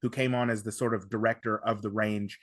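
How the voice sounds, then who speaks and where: A man's voice: lowish voice, level tone, a bit bright, no echo, clean studio sound. A man chatting casually as a podcast host, enthusiastic and often doubling back on his words. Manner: halting, repeating words, enthusiastic. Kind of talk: casual discussion of audio drama.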